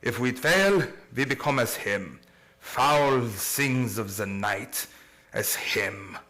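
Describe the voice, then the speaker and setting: A man's voice: medium pitch, animated, slow pace, pushed and bright. A man playing a grave, learned professor who hunts vampires in a radio play, speaking in solemn, formal oratory. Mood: grave, resolute. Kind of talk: old-time radio play acting.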